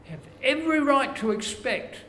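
An older man with an Australian accent preaching a sermon, slow and measured, his phrases falling away at the ends. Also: extremely high pitch, animated, fairly bright tone. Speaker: pastor. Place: church room with curtains. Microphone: lapel mic. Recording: echoey church room, faint background hiss.

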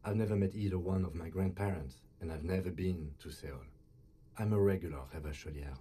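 A very raspy, soft-toned police lieutenant from a detective game. He speaks low, with quiet authority and restraint. His manner stays dry, composed and matter-of-fact. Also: a little fast. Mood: firm.

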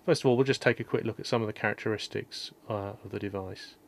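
A man calmly explaining an electronics repair at his workshop bench, step by step, with frequent ums and uhs. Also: on the low side, quick pace, vocal fry. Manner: calm, methodical, many ums and uhs. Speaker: electronics restorer. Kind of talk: technical repair walkthrough.

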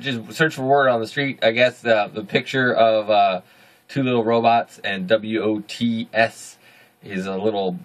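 A man in his thirties with a deep voice, hosting a casual video diary from a small home studio, rambling and correcting himself as he goes. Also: a bit bright. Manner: rambling, self-correcting, upbeat. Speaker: video journal host.

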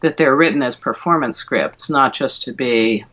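A middle-aged woman speaks as an interview guest, feeling her way through explanations with a thoughtful, halting delivery. The sound is dull and lo-fi, like a video call from a room at home. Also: a bass voice, fairly bright tone. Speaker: guest, verse translator and classicist.

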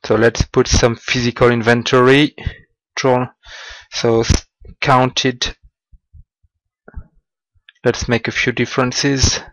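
A man giving a slow, halting walkthrough of business software over a screen recording, with small grammar slips. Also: mid-range voice, flat delivery, no echo, low-quality recording. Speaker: software demonstrator.

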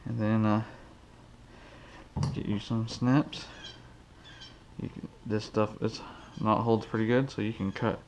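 Male fishing host, lowish voice, relaxed unhurried how-to delivery, faint hiss behind. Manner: slow, relaxed, counting steps aloud. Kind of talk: casual how-to demonstration. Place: cluttered garage, indoors. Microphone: head-mounted action camera.

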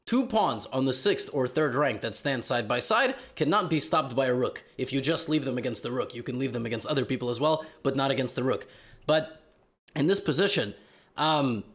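A young man with a slightly hoarse voice from being sick, giving animated, joking commentary on chess games in a small room. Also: medium pitch. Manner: animated, joking, hesitant fillers. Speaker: chess video host.

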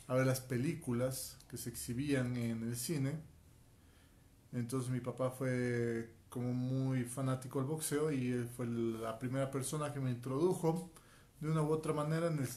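A man's voice: medium pitch, a little mellow. A man in his forties from Quito with an Ecuadorian Spanish accent, a martial arts teacher introducing himself earnestly straight to camera, often tagging "ok?". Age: 40s. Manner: earnest, addresses viewer directly, frequent "ok?" tags. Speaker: martial arts instructor and life coach. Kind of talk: direct-to-camera self introduction. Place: small room at home, indoors. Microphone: phone camera at arm's length.

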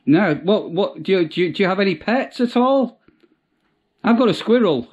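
British bloke, quite high voice, deadpan casual chat, bit lo-fi. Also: male voice, moderate pace. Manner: deadpan, dry humour. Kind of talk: casual prank phone chat. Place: small domestic room. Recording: slightly dull, lo-fi home recording.

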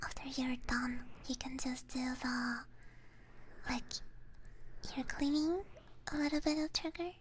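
A woman hosting an ASMR livestream in a soft, breathy whisper, speaking gently and very close to a binaural microphone. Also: high voice, unhurried, pauses often, a little hiss or hum. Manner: soft, gentle personal attention. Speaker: livestream host. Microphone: close binaural ear microphone.

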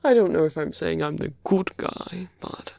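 A man voicing a seductive, sardonic fantasy villain in an intimate, breathy ASMR roleplay, murmuring slowly close to the mic. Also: on the high side, dark tone, no room sound. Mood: confiding, bitter. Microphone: close microphone, dry.

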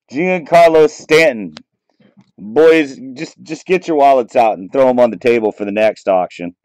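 Man, casual card-break commentary, slow unhurried delivery, dry close sound, camera mic at a table. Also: mid-range voice, dry recording, poor audio. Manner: relaxed, chatty, reading out names and teams as he goes. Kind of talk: casual commentary while opening trading card packs. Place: small room at a table, off camera.

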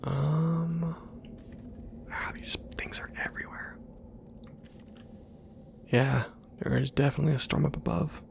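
A man giving ASMR gameplay commentary in a soft half-whisper, musing casually to himself in a hushed way. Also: on the high side, fairly even intonation, a little slow, creaky, pauses often. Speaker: video game streamer.

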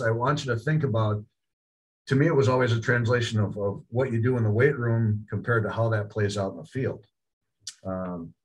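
A man's voice: mid-range voice, poor audio. Man coaching over slides, casual restarts. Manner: conversational, frequent word repeats and restarts. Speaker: college football offensive line coach. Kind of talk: clinic presentation over slides.

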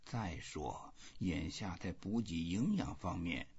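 A weary old fisherman from a storybook, read by a man, stoic and reflective. He muses slowly to himself in a very breathy, husky voice that rises and falls freely. The sound is a little muffled. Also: deep voice, animated, a little mellow, heavily acted. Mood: quiet musing.

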